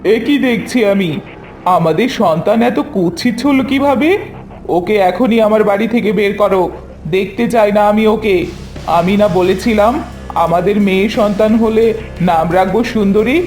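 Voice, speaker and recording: A man's voice: high voice, unhurried, darkish tone, faint music behind. A man voicing a proud, blunt male fox husband and father in a cartoon fairy tale. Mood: angry, disgusted. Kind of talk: animated fairy-tale character voice.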